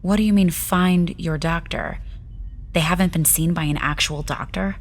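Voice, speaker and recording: A woman's voice: fairly low pitch, steady tone, normal speed, lightly acted. A young woman in an audio drama playing an earnest princess who pleads but keeps her pride. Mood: concerned. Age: young adult. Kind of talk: full cast audio drama acting.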